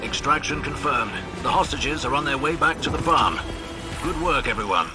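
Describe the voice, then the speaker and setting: A man's voice: medium pitch, expressive, normal speed, close to an everyday voice. Guy playing a polite dry-witted british gent, older scout type, game cutscene with music under it. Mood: reassuring. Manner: courteous, drily understated. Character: genteel, wry older gentleman scout. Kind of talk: cinematic game voice acting.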